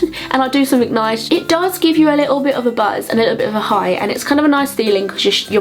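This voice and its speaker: A young adult woman with a southern English accent, chatting to viewers as a comedic vlogger with playful, sarcastic asides and mild swearing. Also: high-pitched.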